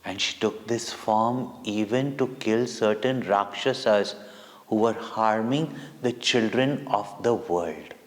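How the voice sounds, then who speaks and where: A man with an Indian accent telling devotional stories to children in a deliberate, theatrical way with dramatic pauses and emphasis. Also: on the low side. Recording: long echoing hall reverb.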